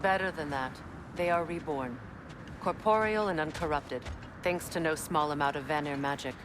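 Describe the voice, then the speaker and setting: An actress playing a proud warrior queen and goddess general, composed and measured. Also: low-pitched, lightly acted. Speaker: video game character. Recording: game audio with steady background noise.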